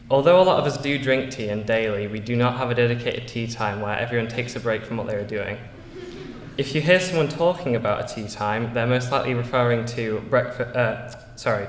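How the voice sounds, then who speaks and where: A teenage boy from England with a Yorkshire English accent, giving an earnest prepared school speech with small slips and fillers. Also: medium pitch. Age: teens. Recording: echoey hall, long reverb.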